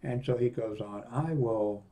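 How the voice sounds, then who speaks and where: A man in his sixties giving a casual, unscripted product review in a rambling, halting way, often reading aloud from a screen. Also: medium pitch, dark tone. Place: small indoor home office room.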